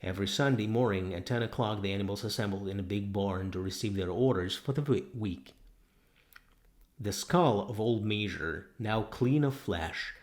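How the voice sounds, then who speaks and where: A man reading a novel aloud as scripted narration. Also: lowish voice. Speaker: audiobook narrator.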